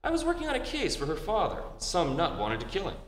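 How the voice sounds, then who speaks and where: A man's voice: high voice, huge pitch swings, fast talker. A man playing a witty, flippant married detective in a 1930s-style radio drama. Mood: playful. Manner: flippant, bantering. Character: wry, witty, married private detective, fond of martinis. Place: live stage performance in a theatre. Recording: echoey hall, faint noise.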